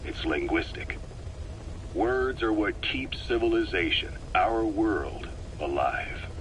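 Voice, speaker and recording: A low-pitched man gives a game briefing as a seasoned, cynical intelligence operative. He is matter-of-fact and puts knowing weight on key words, with faint noise behind him. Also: expressive, slow, a bit bright, low-quality recording. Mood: grave, explanatory. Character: cynical, world-weary male military intelligence briefer. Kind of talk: video game briefing narration.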